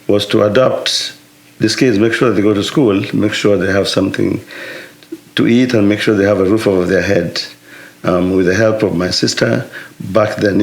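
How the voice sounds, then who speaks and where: A Kenyan man, founder of a foundation, telling his story in a halting, measured way with many pauses. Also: low voice, vocal fry. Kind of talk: personal account, interview-style.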